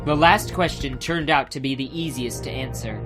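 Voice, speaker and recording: A man's voice: mid-range voice, moderate pace. A man giving a scripted, dramatic narration of a fantasy audiobook. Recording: music behind the voice.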